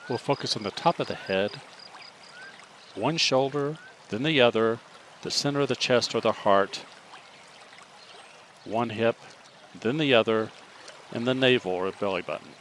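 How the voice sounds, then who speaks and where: A man leading a guided meditation, giving slow, calm instructions with long pauses between them. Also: medium pitch, slight background noise.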